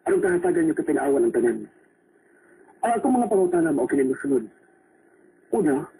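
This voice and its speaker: Worried husband in radio drama, highish lively voice, earnest, noisy recording. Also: male voice. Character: anxious husband confessing his troubles. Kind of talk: Philippine radio drama acting in Cebuano.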